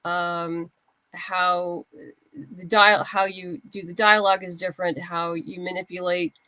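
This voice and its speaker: A woman's voice: lowish voice, little pitch movement, unhurried, pushed and bright, no room sound, low-quality recording. A woman speaking casually as a guest writer in an interview, explaining her creative process and acting out little asides.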